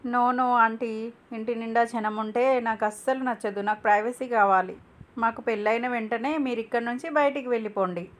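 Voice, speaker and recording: A woman plays a boastful young city woman from a cartoon moral tale. She talks haughtily, dropping English words to sound stylish, with a pitch that often lifts upward. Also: fairly even intonation, moderate pace, lightly acted. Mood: haughty, demanding. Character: young modern fashionable city woman, boastful, brash, English-sprinkled speech. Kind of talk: cartoon moral story voice acting.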